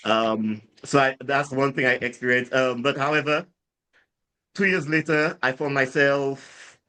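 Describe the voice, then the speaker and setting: A man with a Saint Lucian accent speaks into a podcast mic at a middling pitch. He rambles through his life story with frequent fillers and false starts, growing animated as he retells what happened. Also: unhurried, clear, resonant tone. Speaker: guest, drinks brand founder. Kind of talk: casual storytelling interview.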